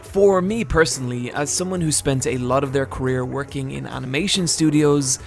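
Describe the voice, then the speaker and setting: A man's voice: mid-range voice, normal speed, creaky. A man narrating a scripted video essay, with dry, wry asides. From Britain. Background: soft background music.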